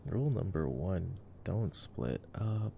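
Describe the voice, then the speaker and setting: A man in a casual ASMR roleplay, commenting on a movie in a soft, hushed, intimate murmur. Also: low-pitched, animated, slow. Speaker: ASMR roleplay voice actor as boyfriend. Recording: clearly noisy background ambience.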